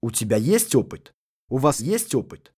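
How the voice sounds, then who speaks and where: Husky man reading translations aloud. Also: medium pitch, big pitch swings, normal speed, a little mellow. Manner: gives each phrase as a careful spoken translation. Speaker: translation reader. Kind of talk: scripted language-lesson reading.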